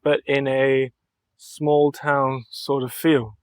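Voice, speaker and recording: A young man with a mid-range voice chats in a relaxed way as a podcast guest. He speaks earnestly and thoughtfully, letting his sentences run on. The sound is dry and close, with no room echo. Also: mid-range voice, slow pace. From Mallorca, Spain. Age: young adult. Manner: earnest and reflective, thinks aloud in long flowing sentences. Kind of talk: relaxed interview conversation.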